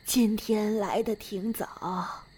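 A woman voices An old, principled figure from a Chinese period radio play speaks in a husky, breathy voice. The delivery is very slow and measured, with an air of mystery. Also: mid-range voice, steady tone, a little mellow. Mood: calm, grave. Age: older. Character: enigmatic, principled old commoner in ancient China. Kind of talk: Chinese period audio drama acting.

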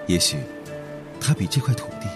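A man playing a gentle, solitary whale in a radio drama, narrating calmly and reflectively about his wandering life. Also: natural voice. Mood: quiet wonder. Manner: calm, unhurried, reflective storytelling. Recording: music under the voice.

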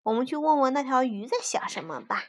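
A woman voicing a playful teddy bear in a storybook, lively and cheeky. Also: high voice, brisk. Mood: teasing.

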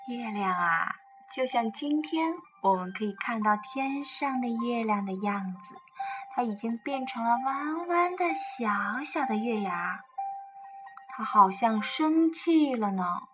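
Female children's storyteller, high and very lively pitch, unhurried delivery, coaxing and expressive. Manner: expressive, coaxing, addresses young listeners with questions. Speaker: children's storytelling show host. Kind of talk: animated picture-book bedtime storytelling. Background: music.

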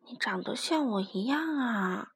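Woman playing a gruff, blustering father tyrannosaurus, animated. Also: high-pitched, slow pace, exaggerated voice. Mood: quiet, moved. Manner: stammering, blustering, exclaiming. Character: gruff male tyrannosaurus, big, soft-hearted reluctant father.

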